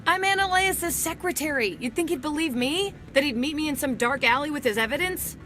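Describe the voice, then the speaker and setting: A woman playing an undercover internal affairs agent in a video game, brisk and matter-of-fact with a dryly sardonic edge. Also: high voice, animated, a bit bright, soft background music. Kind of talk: video game dialogue acting.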